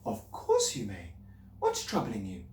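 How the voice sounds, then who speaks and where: A man reading aloud and voicing a kind young woman schoolteacher who speaks gently and patiently. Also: fairly low pitch, wildly swooping pitch, unhurried, slight background noise, full character voice. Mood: gentle. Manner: gentle, patient, softly questioning. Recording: roomy, distant mic.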